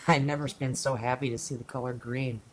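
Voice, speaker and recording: A narrator telling a scripted first-person story for an amateur radio play, in a dramatic, deliberate way. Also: mid-range voice, fairly even intonation, a little hiss or hum, poor audio. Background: music.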